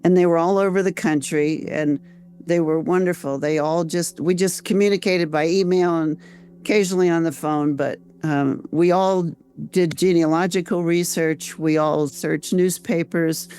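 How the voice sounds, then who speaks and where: An older woman with an American accent, telling her own story conversationally as an interview guest, reflective and halting, repeating phrases as she goes. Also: on the low side, steady tone, warm tone, clear, resonant tone. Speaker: interview guest, author and volunteer researcher.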